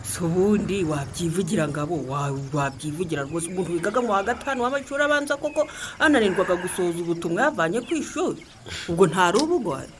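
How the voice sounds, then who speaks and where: Actress as quick, mocking village mother. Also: on the low side, animated, close to an everyday voice. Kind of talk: radio drama dialogue, animated. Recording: noisy farmyard with chickens.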